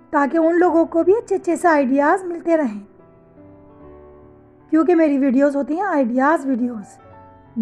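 A woman's voice: sits high, darkish tone. A woman, hosting a fashion channel in a casual, chatty voice-over that addresses her viewers as sisters and mothers. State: sore throat. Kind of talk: casual voice-over for a slideshow of products.

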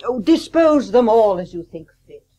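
Commanding mother, radio drama: husky, animated, brisk and reproving. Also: fairly high pitch. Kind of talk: 1980s radio play acting.

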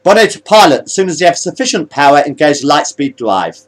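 A man's voice: fairly high pitch, big pitch swings, fast, pitched above the performer's own voice. A man voicing a firm, defiant blue-skinned alien first officer giving clipped commands in a space story. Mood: forceful, threatening.

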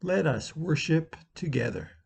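Middle-aged man, husky voice, slow liturgical delivery, home webcam. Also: a little high, big pitch swings, darkish tone. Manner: measured, unhurried. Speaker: worship leader. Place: home room. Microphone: webcam at close range.

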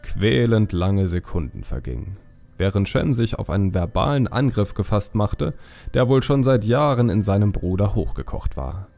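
A man's voice: on the low side, animated, moderate pace, vocal fry, darkish tone. A man reading scripted fantasy story narration as an audiobook narrator.

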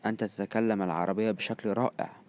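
A man with an Egyptian accent teaching phrases, repeating each one slowly and then glossing it in quick, casual asides. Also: lowish voice, fairly even intonation, a little mellow, smooth. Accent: Egyptian Arabic. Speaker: language teacher. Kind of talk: phrase-by-phrase language lesson.

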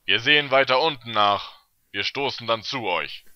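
A man's voice: medium pitch, moderate pace, highs cut off, close to an everyday voice. A man playing a commanding, combative ship captain in a fantasy audio drama.

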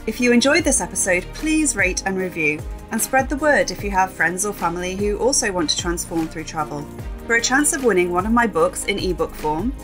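A woman's voice: mid-range voice, a bit bright. A woman hosting her own podcast, talking chattily through a trip and often laughing. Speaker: podcast host. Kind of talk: casual solo podcast monologue.

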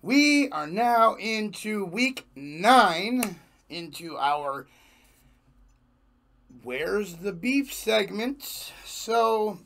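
A young man with a hoarse, gravelly voice pitched on the high side chats slowly and casually as a reaction host. He laughs easily and speaks close to a desk microphone in a small home room. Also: expressive, noisy background. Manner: slangy, laid-back, laughs often. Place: small home studio room with jerseys on wall. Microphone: large desk microphone in front.

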